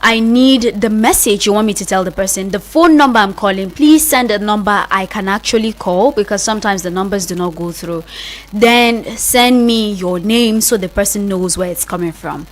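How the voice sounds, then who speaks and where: A Nigerian woman hosts a live radio show from the studio in a chatty, informal style. She talks directly to listeners at an average pitch, walking them through things step by step and repeating points for emphasis. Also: moderate pace. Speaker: radio host.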